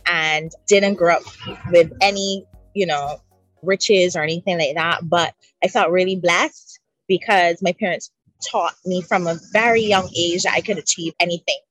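A woman's voice: on the low side, fairly even intonation, moderate pace, a bit bright, no echo, faint music behind, rough recording. Barbadian woman chatting by the sea. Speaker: guest. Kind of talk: relaxed interview chat. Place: outdoors by the sea.

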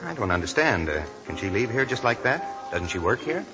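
An actor playing a polite, awkward young lieutenant, hesitant and earnest, in 1940s radio drama style. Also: male voice, on the low side, big pitch swings, fairly quick, poor audio. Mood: puzzled. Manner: hesitant, courteous. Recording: 1940s radio broadcast with loud music.

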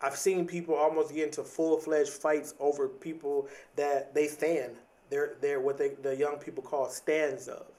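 A man's voice: fairly high pitch, little pitch movement, normal speed, hardly any pauses, dark tone. Reflective man in his thirties, slightly distant. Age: 30s. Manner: thoughtful, repeats and restarts words, casual slang. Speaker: podcaster. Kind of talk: reflective casual monologue.

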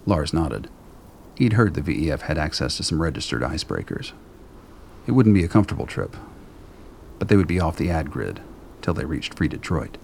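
Very deep, gravelly male narrator. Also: very animated, a little fast, a little mellow, slight background noise. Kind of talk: scripted radio drama narration.

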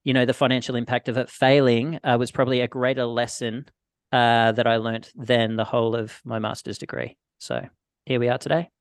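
A man's voice: mid-range voice, level tone, a little fast, no echo. A man, hosting a casual interview and calling his guest "mate". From Australia. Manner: friendly, says "mate", asks open questions. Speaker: podcast host.

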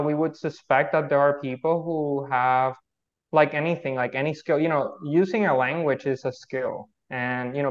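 A man from Puerto Rico, a native Spanish speaker, explains research ideas as a guest on a video call. His mid-pitched voice comes through dull, lo-fi audio. He hedges and restarts often. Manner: thoughtful, many fillers and restarts. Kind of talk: casual explanatory interview.

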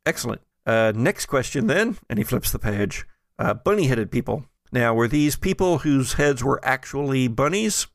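A man with a creaky voice narrates a tabletop game as its game master. He feels his way through descriptions informally and haltingly, in a dry, close sound. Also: mid-range voice, expressive, no echo.

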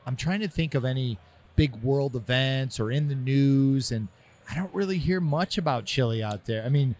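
A man hosting a podcast interview, joking around and asking quick, easygoing questions. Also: medium pitch, darkish tone. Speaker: podcast host. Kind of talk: casual interview chat.

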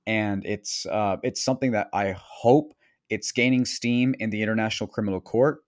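A man from Venezuela hosting an interview podcast, talking in quick, earnest bursts. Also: fairly low pitch, steady tone. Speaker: podcast host.

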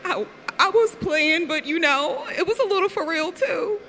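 A woman's voice: extremely high pitch, moderate pace, a bit bright, a little hiss or hum. A woman improvising an exasperated, sassy heartbreaker waitress in a comedy parody of a radio play. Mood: sheepish, whiny. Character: exasperated, sassy young-love heartbreaker waitress juggling suitors. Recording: reverberant hall sound.

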